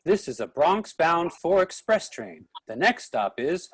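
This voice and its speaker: A man's voice: on the high side, sing-song, measured pace, husky, no room sound, exaggerated voice. A man playing an automated male subway announcer in a play reading, with formal, procedural delivery.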